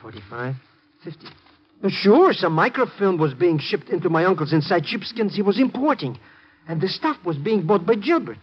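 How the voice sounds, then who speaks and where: An actor playing an excitable, cowardly small-time hustler who stammers out slang, in 1950s radio drama style. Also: male voice, high-pitched, moderate pace, breathy edge, heavily acted. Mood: greedy, rambling. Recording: muffled vintage radio broadcast.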